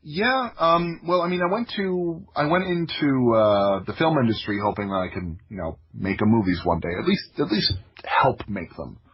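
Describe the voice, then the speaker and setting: A man's voice: medium pitch, lively intonation, moderate pace, few pauses, husky, dull audio. An American man telling stories casually as a podcast guest, in an anecdotal, self-interrupting way peppered with "like". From Florida, United States. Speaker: podcast guest, audio drama creator. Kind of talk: casual conversational storytelling.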